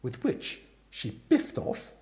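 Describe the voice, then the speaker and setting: A man reading a comic story aloud as an expressive literary narrator. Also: takes it slowly. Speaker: story reader.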